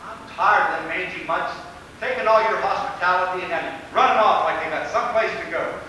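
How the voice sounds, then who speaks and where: A man's voice: high-pitched, a little fast, pushed and bright. A man playing a grumpy, stubborn country husband in a stage play, with folksy, cantankerous speech. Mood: irritated. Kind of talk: stage play acting, projected. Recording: echoey hall from far off, faint noise.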